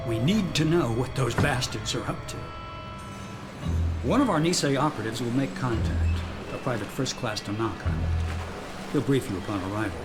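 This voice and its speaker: A man's voice: medium pitch, animated, moderate pace, over music, lightly acted. Stern American officer played by a man. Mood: stern, urgent. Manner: commanding, clipped, serious. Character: stern wartime American intelligence officer briefing a sergeant. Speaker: commanding officer.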